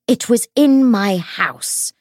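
Lady doing a furious hoarse noblewoman from an audiobook, high and loud, hissy, menacing, accusing. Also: lively intonation, takes it slowly, no room sound, clean studio sound. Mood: raging. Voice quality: hoarse, hissing. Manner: theatrical, accusing. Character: menacing, raging older noblewoman hurling accusations.

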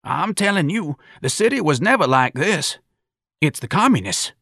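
A man's voice: on the high side, big pitch swings, moderate pace, a bit bright, dry recording, studio quality, voice pushed up, heavily acted. A man voicing an indignant, cranky old grandfather in an audiobook novel. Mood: grumbling.

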